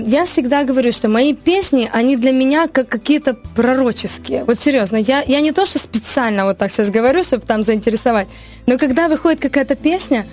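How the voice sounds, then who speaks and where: A woman from Ukraine, a worship singer, telling her story in a casual interview, earnest and animated with many restarts. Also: fairly high pitch.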